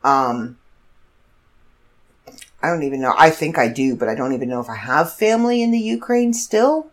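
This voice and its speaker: A woman's voice: low-pitched, animated, moderate pace. A congested woman in her fifties, hosting a casual solo podcast and rambling through stories with self-corrections. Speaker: podcast host.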